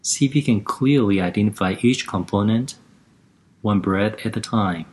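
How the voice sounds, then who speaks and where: A man guiding a lying-down relaxation and breathing exercise, giving gentle step-by-step instructions in a calm, even way. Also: lowish voice, moderate pace.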